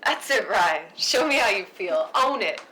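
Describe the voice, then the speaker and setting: A woman's voice: mid-range voice, big pitch swings, unhurried, very noisy. A woman playing a taunting, unhinged young woman in a film script read, mocking, sing-song and goading.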